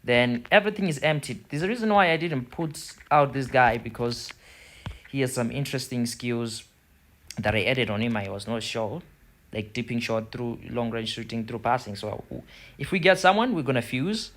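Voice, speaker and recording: A man's voice: mid-range voice, expressive, normal speed, a bit bright. Zimbabwean man talking through a game tutorial. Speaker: gaming video host. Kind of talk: casual tutorial commentary over football game screen.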